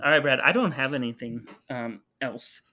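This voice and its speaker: A man's voice: medium pitch, moderate pace, pushed and bright. A man co-hosting a casual podcast with his brother, telling stories wryly and reading the outro. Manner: wry, playful storytelling, reads outros. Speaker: podcast co-host. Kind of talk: casual chat with scripted segments.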